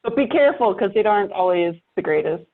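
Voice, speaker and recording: Thin, phone-like audio carries a woman with a medium-pitched voice. She speaks bluntly and emphatically in a casual podcast chat, giving advice with wry humor. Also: expressive, fast.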